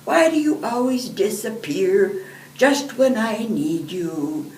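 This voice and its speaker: A woman's voice: medium pitch, expressive, slow pace, a little mellow, pitched above the performer's own voice. An elderly woman voicing an eager, earnest little boy in a storybook, pleading and fretting. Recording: roomy, distant microphone, background hiss.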